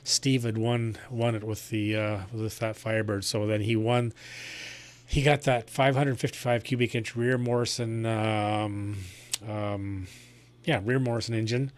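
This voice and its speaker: Low-voiced man chatting on radio. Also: flat delivery, takes it slowly. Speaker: amateur radio operator and live streamer. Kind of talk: casual ham radio chat.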